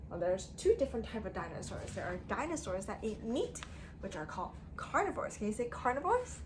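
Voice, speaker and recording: A woman teacher reading a story aloud to young children in an expressive way, using simple phrasing and putting questions to them. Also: medium pitch, fairly quick, background noise. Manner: expressive, emphatic delivery.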